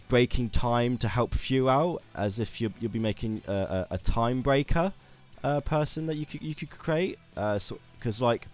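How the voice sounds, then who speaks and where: A man with a sore throat, rambling through a casual gaming commentary with many restarts and fillers. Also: lowish voice.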